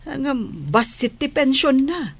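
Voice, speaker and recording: A woman's voice: high voice, slow. A woman playing a sociable older village schoolteacher, chatty and exclamatory in an audio drama. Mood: gossipy concern. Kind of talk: Philippine audio drama acting.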